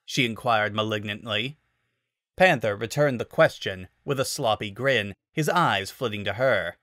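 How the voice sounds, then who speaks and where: A man's voice: on the low side, measured pace, a bit bright, dry recording, clean studio sound. A man narrating a story as a scripted dramatic reading. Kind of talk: dramatic reading of fan fiction.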